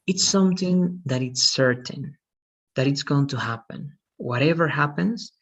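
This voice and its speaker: A man's voice: fairly high pitch, unhurried, highs cut off. A man in his thirties teaching an online English class, patiently checking understanding with frequent "okay?" and "right?". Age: 30s.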